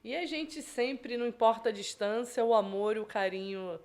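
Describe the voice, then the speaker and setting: A woman's voice: on the high side, moderate pace, darkish tone. A woman with a Rio de Janeiro accent, chatting casually as a guest in an interview. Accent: Rio de Janeiro Brazilian Portuguese. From Rio de Janeiro, Brazil. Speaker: podcast guest.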